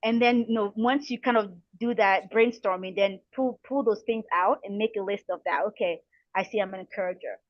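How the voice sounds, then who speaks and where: A woman's voice: medium pitch, brisk, dry recording, lo-fi sound. A middle-aged woman from the Republic of the Congo giving motivational advice as an interview guest, encouraging and earnest, repeating phrases for emphasis. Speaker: guest speaker, coach and counselor.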